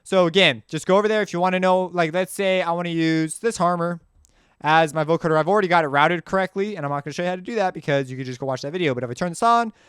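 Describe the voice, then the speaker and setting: A man hosting a casual music software tutorial, talking in an informal, rambling way and often correcting himself as he goes. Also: high voice, racing, clean tone, no echo, clean studio sound.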